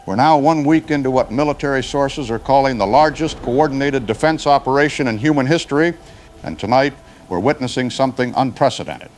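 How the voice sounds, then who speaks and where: A man playing a grave news anchor in an audio drama, delivering formal, urgent crisis reports in a measured way. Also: mid-range voice, a little hiss or hum, close to an everyday voice. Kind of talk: scripted broadcast news reporting.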